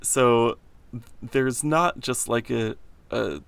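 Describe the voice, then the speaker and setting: A young man co-hosting a podcast, talking in a deep, slightly gravelly voice with a flat, deadpan, sarcastic delivery. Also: takes it slowly, dry recording. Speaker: podcast co-host. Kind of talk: casual chat.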